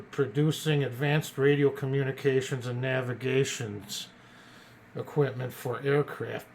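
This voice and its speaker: A man casually presenting an old radio as a collector, partly reading from notes in a halting way and stumbling over words. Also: mid-range voice, darkish tone, slight background noise.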